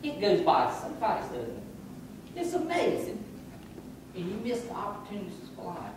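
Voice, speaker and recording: A man's voice: high-pitched, huge pitch swings, moderate pace, recorded from a distance. Guy in his 60s giving a pep talk to kids, preachy folksy style, big echoey hall, faint background hum. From North Carolina. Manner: folksy, preacherly, gesturing while pacing. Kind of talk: motivational speech. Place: large wooden camp hall. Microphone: far camera.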